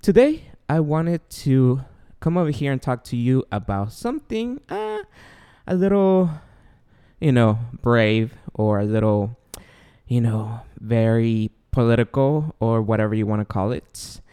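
A man's voice: mid-range voice, very animated, measured pace, mellow, clear, resonant tone. A man from Guatemala with a Guatemalan Spanish accent, hosting a casual solo podcast in a rambling, self-deprecating way with many fillers. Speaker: podcast host.

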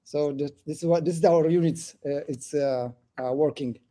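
A man in his forties from Ethiopia, speaking as a guest in a podcast interview, pausing often with "uh" between phrases. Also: a little high, fairly even intonation, mellow. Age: 40s. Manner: halting, many "uh" fillers. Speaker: government official, guest.

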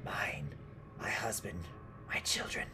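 Frail old village crone, performed by a male narrator: soft raspy whisper, slow, ominous, haunted, for storybook horror. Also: sits low. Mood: eerie, foreboding. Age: older.

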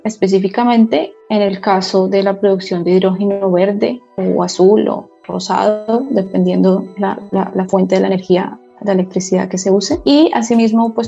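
A woman in her forties with a Bogotá Colombian accent, a guest expert giving measured, careful explanations with small restarts in a podcast interview. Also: mid-range voice, vocal fry, mellow, slightly rough, highs cut off.

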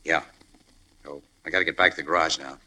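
A hard, forceful male voice from an old radio crime show. He is a wisecracking undercover man posing as an ex-con, very low and rough-edged, tossing off slang with easy nonchalance. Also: fairly even intonation, fast, exaggerated voice. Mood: confiding. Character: tough-guy crime photographer posing as ex-convict garage hand. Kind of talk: 1940s radio crime drama acting. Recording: old-time radio broadcast recording.

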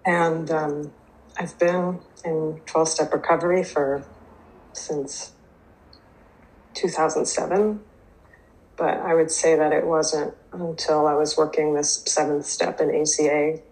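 Low-voiced woman, native Hebrew speaker. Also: steady tone, slow, darkish tone, rough recording. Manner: hesitant, many fillers and restarts. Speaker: twelve-step program member sharing. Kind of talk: reading aloud, then personal recovery share.

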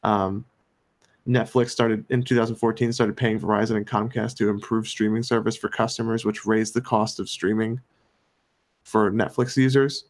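A man's voice: fairly low pitch, level tone, moderate pace. American man explaining on a podcast. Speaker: podcast co-host. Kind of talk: opinionated podcast discussion.

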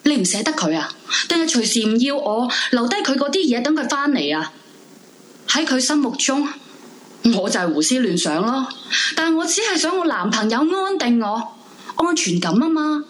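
A woman's voice: sits high, sing-song, moderate pace, slight background noise. An actress playing an anguished, sleepless young woman left by her boyfriend, emotional and pleading, in a Cantonese radio drama. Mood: distraught, bitter. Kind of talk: Cantonese live radio drama acting with narrated monologue. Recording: music behind the voice. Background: background music.

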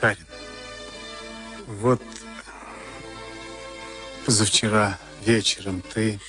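An actor in a Soviet radio play as a moody, weary boxer of thirty-six. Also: male voice, lowish voice, steady tone, extremely slow, a bit bright. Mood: weary, earnest. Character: moody, weary 36-year-old professional boxer and former prisoner. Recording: archival radio recording with music behind.

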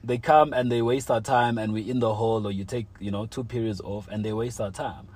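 A man's voice: lowish voice, steady tone, fairly quick, darkish tone. A young man telling a personal story in casual chat, full of slang and fillers like "like" and "you know". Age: young adult.

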